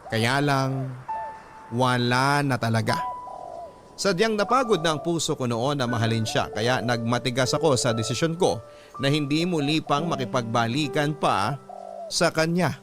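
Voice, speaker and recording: A man's voice: mid-range voice, animated, a little slow. A man with a Filipino accent reading a first-person story as a radio storyteller, in dramatic scripted narration. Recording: background music under the voice.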